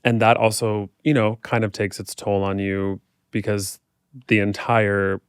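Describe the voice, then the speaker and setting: A man's voice: lowish voice, little pitch movement, measured pace, smooth, no room sound, studio quality. An American man chatting as a podcast guest, telling personal stories in an informal, candid, reflective way. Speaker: podcast guest, fitness instructor. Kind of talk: casual chat, personal storytelling.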